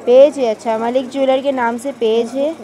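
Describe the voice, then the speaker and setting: A woman's voice: high voice, fairly even intonation, normal speed, warm tone. A woman with a Pakistani Urdu accent touring market stalls on camera, chatty and enthusiastic, asking shopkeepers about prices. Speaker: shopping vlogger. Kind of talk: market tour vlog. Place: busy indoor market shops. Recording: market noise behind voice.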